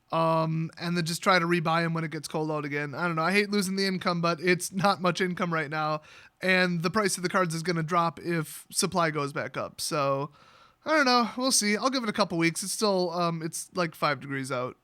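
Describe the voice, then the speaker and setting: A man's voice: high voice, clean tone. An American man telling a personal story in a casual, rambling way, with a sarcastic, jokey delivery. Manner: sarcastic, animated, jokey exaggeration.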